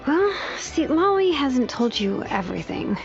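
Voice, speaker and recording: Cautious young woman strategist for a game, highish, thoughtful explainer, soft music behind. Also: sing-song, unhurried, vocal fry, lo-fi sound, exaggerated voice. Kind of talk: video game voice acting.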